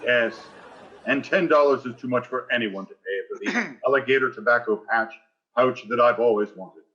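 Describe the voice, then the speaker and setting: A man's voice: medium pitch, moderate pace, a bit bright, dull audio, close to an everyday voice. An actor playing a pompous, greedy male school principal in 1940s radio sitcom style, florid and insinuating with false magnanimity. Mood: slyly wheedling.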